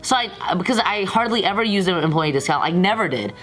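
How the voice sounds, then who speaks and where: A woman's voice: medium pitch, expressive, fast talker, a bit bright, soft background music, rough recording. A woman chatting informally as a talk show guest, telling a personal story with slang.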